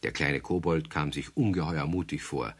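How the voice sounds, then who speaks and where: A man narrating a children's radio play in a measured storytelling way. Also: rumbling low, husky. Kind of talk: scripted narration.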